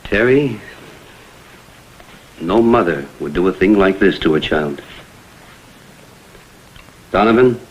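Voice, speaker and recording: Vintage TV crime drama: persistent American photographer-detective, urgent, earnest delivery, animated intonation. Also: male voice, sits low, slow pace, pauses often, husky, highs cut off, heavily acted. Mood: concerned, probing.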